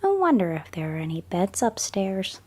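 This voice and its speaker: A woman's voice: mid-range voice, very animated, moderate pace, warm tone. A woman whispering curious little Goldilocks. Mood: musing.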